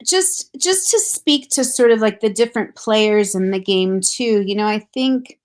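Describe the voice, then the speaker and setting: A middle-aged woman talks in an earnest, reflective way. Her voice sits at an ordinary middle pitch, and she chuckles lightly between thoughts. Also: unhurried. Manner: thoughtful, earnest, laughs softly. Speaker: grief counselor guest. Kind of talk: reflective casual conversation.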